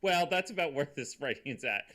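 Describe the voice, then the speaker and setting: A man's voice: fairly high pitch, lively intonation, fairly quick, pushed and bright. A man co-hosting a live table read in casual comedic chat, giggly and riffing mockingly on the script.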